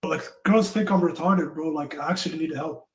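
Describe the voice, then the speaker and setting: A man's voice: fairly high pitch, a little fast. A man in his twenties from Somalia, confiding casually in slang as he asks for advice, with echoey room sound. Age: 20s. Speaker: caller asking for advice.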